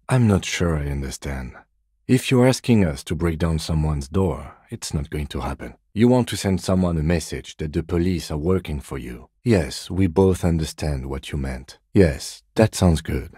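A man voicing a composed, methodical police detective in a video game, speaking in a measured, formal, understated way. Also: rumbling low, expressive, mellow, breathy edge, no room sound, clean studio sound, heavily acted. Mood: dry, businesslike. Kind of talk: video game character dialogue.

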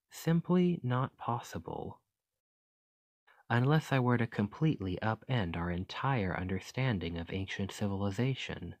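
A man playing a gentle, curious fantasy archaeologist in an ASMR roleplay, speaking in a formal, musing, articulate way. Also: fairly low pitch, no room sound. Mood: musing, fascinated.

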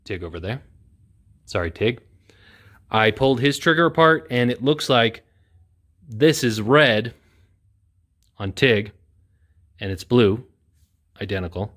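A man's voice: medium pitch, lively intonation, slow pace, long pauses, fairly bright tone. A man in his thirties hosting a casual how-to repair demonstration in an indoor workshop, talking in a plain-spoken, self-deprecating way. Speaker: welding video host. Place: indoor workshop with corrugated metal wall.

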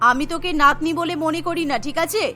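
A woman's voice: extremely high pitch, fairly even intonation, fast, bright, forceful tone, faint music behind. Woman voicing a stern, bossy cartoon grandmother. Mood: stern scolding. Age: older. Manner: scolding, commanding. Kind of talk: children's cartoon voice acting.

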